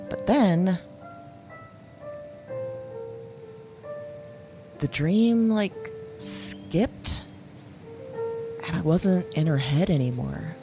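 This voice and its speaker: A woman playing an uneasy, fascinated dreamer who haltingly confides in an audio diary for an audio drama. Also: extremely low pitch, heavily acted. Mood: unsettled, intense. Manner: halting, searching, hesitant with pauses and sighs.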